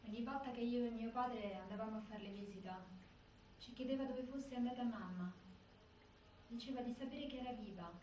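A woman's voice: on the high side, a little fast, warm tone, close to an everyday voice. A woman acting a prisoner's relative giving sober testimony on stage in a very echoey hall. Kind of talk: documentary theatre testimony. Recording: very echoey hall, distant, faint noise.

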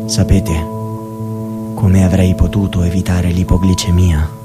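A man acting a dead young man who speaks slowly and bitterly in hushed, at times whispered tones, in a radio drama. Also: low voice, little pitch movement, over loud music.

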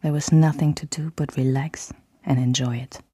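A woman's voice: a bass voice, steady tone, normal speed, warm tone, slightly rough, no echo, clean studio sound. An actress playing a wistful, candid woman recalling a love triangle in intimate, reflective first-person radio drama narration. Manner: reflective, alternates German with English phrases.

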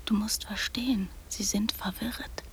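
An actress playing an imaginative, bossy little girl in a radio drama, often dropping into secretive whispers and then insisting loudly. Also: mid-range voice, steady tone, a little slow, lightly acted. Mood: hushed, secretive. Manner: hushed, secretive whispering broken by sudden loud insistence.

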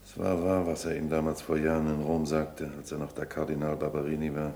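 Very deep, resigned, blunt man. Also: completely flat delivery, fairly quick, mellow. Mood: bleak, resigned. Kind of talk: 1960s radio play acting. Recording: echoey, noisy, humming old radio recording.

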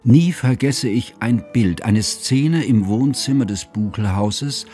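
An actor in a radio drama playing a devoted scholarly friend who narrates his memories in a measured, formal, reflective way. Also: mid-range voice, mellow, faint music behind, lightly acted. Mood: measured, recollecting.

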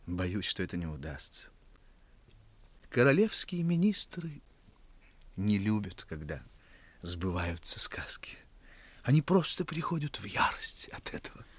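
Wistful village teacher, husky medium voice with expressive swings, solemn radio-drama delivery. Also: male voice, fairly low pitch, unhurried, pauses often, no echo, heavily acted. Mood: quiet bitterness. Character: earnest, wistful village schoolteacher, gentle with children. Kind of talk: 1960s Soviet radio drama acting.

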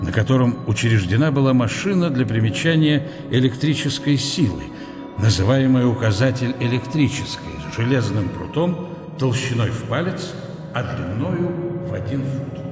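A man narrating a literary radio drama in an expressive scripted reading. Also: mid-range voice, normal speed, over music.

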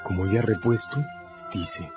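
A man with a fairly low voice narrates a radio drama from a script. He tells the story dramatically, pausing deliberately. Music plays behind, and the recording is a touch dull.

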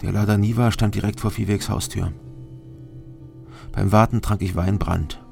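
An actor playing a weary, hard-drinking private detective who narrates a crime radio drama in terse, clipped sentences. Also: male voice, on the low side, steady tone, normal speed, vocal fry, music in the background. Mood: brooding. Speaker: narrator and lead investigator.

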